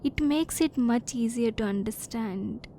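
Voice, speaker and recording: A woman voicing a curious, reserved female alien in an ASMR roleplay, speaking softly in formal, stilted phrases, at times close to a whisper. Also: a little high, unhurried, a little hiss or hum. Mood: hesitant, earnest. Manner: soft-spoken, sometimes near whisper.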